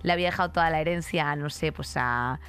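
A woman's voice: deep voice, fast talker, fairly bright tone, clear, resonant tone. A woman in her thirties with a Spain Spanish accent, co-hosting a podcast in a blunt, sweary, emphatic way, close on a studio mic. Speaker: podcast co-host. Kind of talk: candid, opinionated podcast chat. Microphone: close studio microphone on arm.

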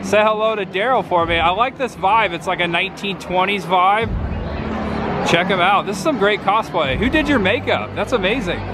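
Excited man vlogging a crowded convention. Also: high-pitched, moderate pace, noisy background. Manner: excited, chatty, exclaiming. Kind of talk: walking convention vlog. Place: large indoor convention hall. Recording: loud crowd and music behind.

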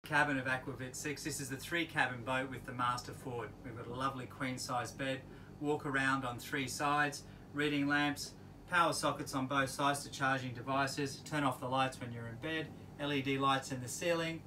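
A middle-aged man presenting a walkthrough tour aboard a moored sailing yacht as a yacht broker, in an upbeat, enthusiastic sales-pitch manner. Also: steady tone, moderate pace. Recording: distant mic with marina background noise.

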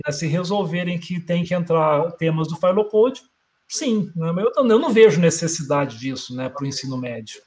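A middle-aged man speaks from a room at home over a video call, explaining things at an easy pace. His voice sits notably high for a man. He thinks aloud and keeps checking in with short tag questions. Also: a little mellow. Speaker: guest lecturer.

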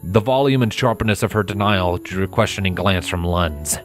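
Low-voiced man narrating a story over music. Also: steady tone, moderate pace. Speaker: narrator of a fan fiction audiobook. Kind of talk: scripted dramatic story narration.

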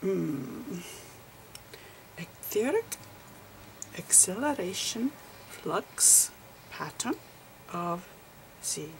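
A woman voicing an eccentric, patient male foreign physicist in an ASMR roleplay, whispery, with halting non-native grammar. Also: lowish voice, big pitch swings, background noise, heavily acted. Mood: measured explaining. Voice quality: whispery, breathy.

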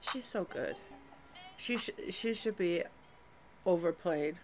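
A woman's voice: lowish voice, lively intonation, takes it slowly. A middle-aged woman chatting as a music reaction vlogger in a small room at home, enthusiastic and rambling, often restarting her sentences and laughing. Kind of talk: casual reaction chat.

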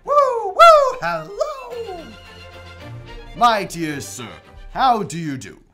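Casting note: an exuberant old miser in a radio play, slow-spoken with wildly swooping pitch. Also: male voice, huge pitch swings, a bit bright, faint music behind, heavily acted. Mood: jubilant. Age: older. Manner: exuberant, laughing, theatrical. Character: elderly male miser, giddy and reformed. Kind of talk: radio drama acting.

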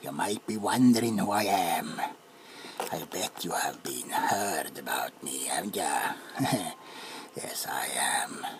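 A man voicing a greedy, mischievous male leprechaun in a put-on Irish accent, speaking low and often in a whisper in a comic ASMR roleplay. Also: lively intonation, slow pace, noisy background. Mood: sly. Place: dark room, close to camera.

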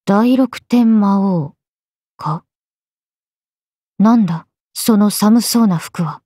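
A woman's voice: medium pitch, slow, mellow, no echo, studio quality. A woman voicing a young swordswoman character in a video game. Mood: flat, musing. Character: swordswomen: a lively young one and her stoic alter self.

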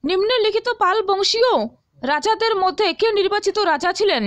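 A woman's voice: extremely high pitch, fairly quick, dry recording. A woman teaching as a tutor, reading out exam questions and answers and often checking that listeners follow.

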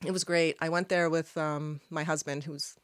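A woman in her thirties from Canada, whose first language is Arabic, chatting as a podcast guest in a small studio on a boom mic, laughing often. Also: little pitch movement, normal speed, clear, resonant tone, no room sound. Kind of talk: casual interview chat. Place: small studio with red curtains. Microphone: studio mic on boom arm.

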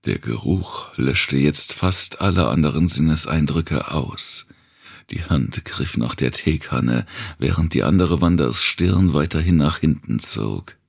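Extremely deep, gravelly male narrator. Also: expressive, measured pace. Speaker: audiobook narrator. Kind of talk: scripted dark thriller narration.

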